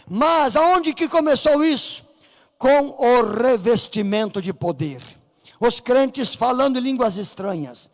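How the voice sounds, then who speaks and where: A Brazilian pastor preaching with fervor, his voice strikingly high and gravelly, climbing to shouts. The sound carries a long echo from a church hall. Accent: Brazilian Portuguese. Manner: fervent preaching, rising to shouts, acting out voices in the story. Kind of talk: Pentecostal sermon. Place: church sanctuary.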